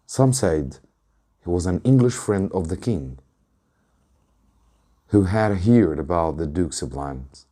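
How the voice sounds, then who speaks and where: A man's voice: deep voice, warm tone. A man reads a novel aloud as a teacher, in a dramatic audiobook style. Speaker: English teacher reading a story aloud.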